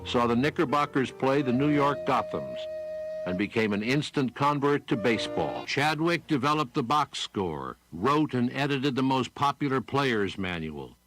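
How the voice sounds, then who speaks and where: A man delivers scripted documentary narration in a mid-pitched voice, with music playing clearly behind him. The reading is that of a factual history piece. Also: sing-song, moderate pace, a bit bright, low-quality recording.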